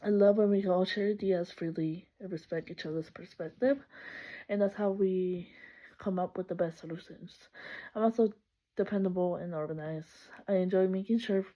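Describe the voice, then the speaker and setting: A woman's voice: on the low side, fairly even intonation, normal speed. A young woman from El Salvador introducing herself for a class, chatty and upbeat, laughing often and correcting herself. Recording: slightly muffled lo-fi recording.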